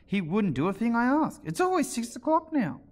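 Storybook eccentric: haughty, mournful, contrary man, pitched very high with swooping delivery. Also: animated, normal speed, a bit bright. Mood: mournful, indignant. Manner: argumentative, emphatic, contrary.

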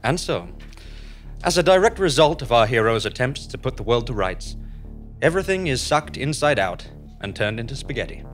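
A man's voice: mid-range voice, sing-song, normal speed. Guy narrating and reading show credits, solemn in a mock-grand way, music around. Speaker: narrator and announcer. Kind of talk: scripted narration and closing credits.

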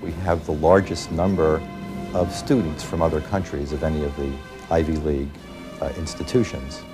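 Brief: middle-aged man, extremely deep and dark voice, halting interview speech, faint music under. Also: fairly even intonation. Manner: halting, many filler pauses.

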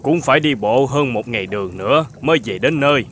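An actor playing a polite, grateful young traveller in an animated folk tale, speaking earnestly. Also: male voice, medium pitch, a little fast, a little hiss or hum, lightly acted. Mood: earnest, wistful.

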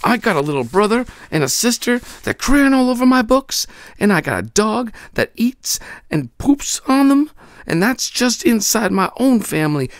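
A man doing a fierce, shrill little girl. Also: gravelly, no room sound, clean studio sound. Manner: loud, indignant scolding. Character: fierce spunky young girl, bossy and protective.

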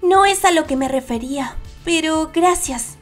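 A woman's voice: high-pitched, normal speed, soft background music, lightly acted. A woman voicing a sweet, encouraging, bashful teenage girl in a cartoon fandub. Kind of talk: fandub comic acting.

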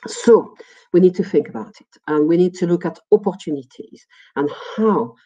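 A middle-aged woman with a French accent presents a webinar as a lecturer in a conversational, halting, digressive way, often repeating words. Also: on the low side, lively intonation, mellow. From France. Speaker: university lecturer. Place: small indoor room, video call. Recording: muffled, dull webinar audio.

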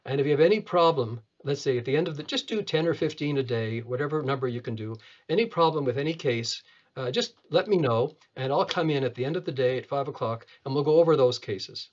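A man in his seventies from Canada, a retina surgeon reminiscing in an interview, halting and often repeating words as he goes. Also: mid-range voice, animated, highs cut off. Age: 70s. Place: small clinic office, video call.

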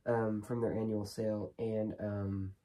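A teenage boy with a cold, hoarse, chatting casually with many ums and restarts while unboxing movies in his bedroom. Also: lowish voice, flat delivery, a little slow, warm tone. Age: teens. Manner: rambling, lots of ums and restarts. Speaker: horror movie vlogger. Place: small bedroom studio with shelves.

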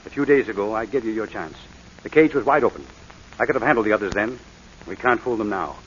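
Fast-talking suave crook played by a man. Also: fairly low pitch, lightly acted. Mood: pressing, menacing. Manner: suave, formal, calculating. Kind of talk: 1940s radio acting. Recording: noisy muffled vintage 1940s radio recording.

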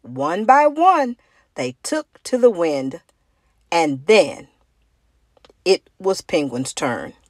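A woman reading a picture book aloud to children as an expressive story hour narrator. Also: fairly low pitch, takes it slowly, pauses often, dry recording, studio quality.

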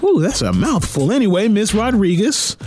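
A man with a high voice presents news-talk commentary on the radio. His delivery is punchy and very animated, full of theatrical emphasis and teasing asides. He is close on a broadcast mic in a studio, with light music behind him. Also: normal speed. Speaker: radio host. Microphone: close broadcast boom mic. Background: music bed.

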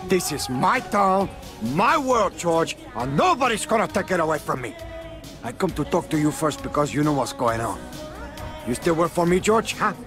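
A brash Cuban gangster kingpin for a video game. His voice sits a little high and swings widely, full of swagger and profanity, in a Cuban accent. Music plays behind him. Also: very animated, normal speed. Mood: defiant, angry. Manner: cocky, profane, domineering. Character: brash, hot-tempered Cuban gangster kingpin, adult man. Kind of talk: video game cutscene acting.

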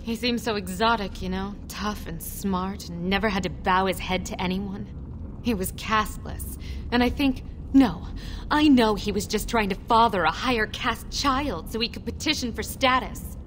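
A woman's voice: medium pitch, moderate pace, fairly bright tone, slight background noise, close to an everyday voice, rough recording. A woman voicing an anxious outcast dwarf mother. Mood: sorrowful. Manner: earnest, confiding. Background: game music.

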